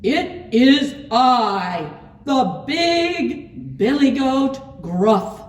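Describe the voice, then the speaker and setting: A woman voicing a big, bold male billy goat from a storybook, loud and challenging. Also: sits high, very animated, very slow, a little hiss or hum, voice pushed up, full character voice. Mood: booming proud. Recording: roomy echo, distant from mic.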